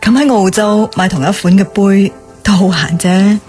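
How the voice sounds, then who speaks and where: An actress playing a sensible, reserved office professional in a radio drama, with a matter-of-fact manner. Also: female voice, medium pitch, normal speed, a little mellow, faint music behind, lightly acted. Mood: dismissive.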